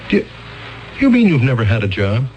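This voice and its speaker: An actor playing an ambitious, fast-talking male defense lawyer in a 1970s radio drama, with a brash, wheedling, excitable manner. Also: medium pitch. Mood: insistent.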